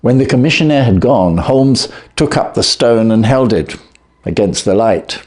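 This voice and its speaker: A man narrating a classic story as an audiobook, with a measured, expressive storytelling delivery. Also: mid-range voice.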